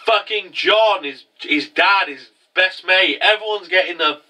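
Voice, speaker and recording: A man's voice: high voice, unhurried, pushed and bright, far from the mic. A young man with a cold, hosting a profane, rambling casual review show. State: congested from a cold. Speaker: anime review host. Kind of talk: casual recap commentary.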